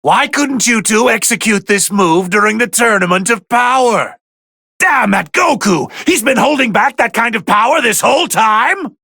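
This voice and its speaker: An actor playing a haughty, bored, easily irritated god of destruction who gives pompous, theatrical commentary in a fighting video game, with a gravelly voice. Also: male voice, very high voice, lively intonation, normal speed, bright, forceful tone, no room sound, studio quality, full character voice. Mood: irritated, outraged. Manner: pompous, theatrical, drawling disdain.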